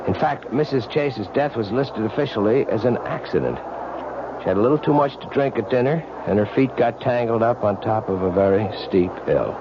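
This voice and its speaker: An actor playing an earnest police lieutenant in an old-time radio mystery, speaking in an urgent, persistent, confiding way. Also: male voice, mid-range voice, normal speed, lightly acted. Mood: grave, matter-of-fact. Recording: muffled, noisy old radio recording.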